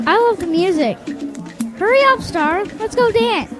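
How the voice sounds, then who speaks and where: A woman playing an excitable, eager young boy in an audio drama. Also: very high voice, measured pace, over music. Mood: eager, excited.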